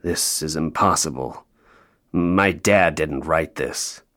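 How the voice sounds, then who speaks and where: An actor playing a bitter, grieving North Pole elf in an audio play, sarcastic and emotionally open. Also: male voice, very deep voice, slow pace, fairly bright tone, exaggerated voice. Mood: stunned, quiet.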